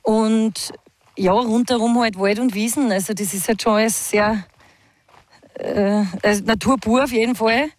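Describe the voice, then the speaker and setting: A woman's voice: a little high, steady tone, a little slow. A woman, a singer chatting in an interview, laughing often and talking with fillers and restarts. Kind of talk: casual interview chat.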